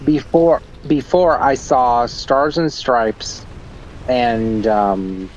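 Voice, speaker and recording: A man's voice: mid-range voice, drawn-out words, highs cut off, slight background noise. Hesitant, laughing man co-host. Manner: hesitant, restarts, laughs often. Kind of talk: casual chat.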